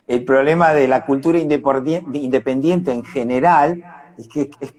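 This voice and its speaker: A man's voice: medium pitch, a little mellow. An Argentine man speaking earnestly and discursively in a reflective live online conversation. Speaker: independent arts worker.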